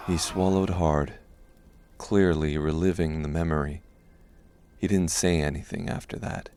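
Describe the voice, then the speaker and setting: Deep, gravelly male audiobook narrator. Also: steady tone, unhurried, dark tone.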